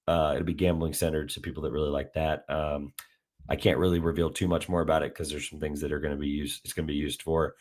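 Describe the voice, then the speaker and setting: A man hosting a casual sports podcast, his voice a little raspy from days of talking, rambling with many fillers and restarts. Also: a bass voice, level tone, quick pace, a little mellow. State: slightly raspy from heavy talking. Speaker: podcast host.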